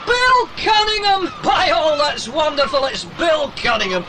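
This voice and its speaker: A man playing a loud, bluff, blustering agent in a children's audio adventure. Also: very high voice, animated, unhurried, over a noisy crowd. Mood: astonished. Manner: loud, blustering, says "aye". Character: bellowing, bluff adult male agent hailing from afar. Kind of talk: dramatised children's audiobook acting. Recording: very noisy, busy effects behind.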